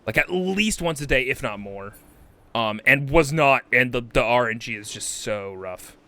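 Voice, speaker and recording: A man's voice: medium pitch, expressive, moderate pace, bright, forceful tone, no room sound. A man hosting a game livestream, chatting casually and exclaiming often, with sweary asides. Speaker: livestream host.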